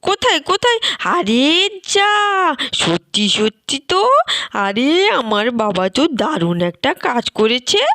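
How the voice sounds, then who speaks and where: An excitable village child from a doll-play tale, voiced by a woman. The voice is high, bossy and eager, given to exclaiming and squabbling. The recording is dry and close. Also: a little slow, no echo. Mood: thrilled. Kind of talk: doll-play story dialogue.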